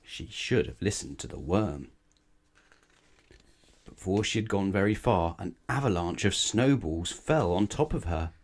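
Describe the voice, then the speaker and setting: A man with a low voice reads a picture book aloud for children. His delivery is expressive, in the manner of a bedtime storyteller. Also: measured pace. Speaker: storybook reader.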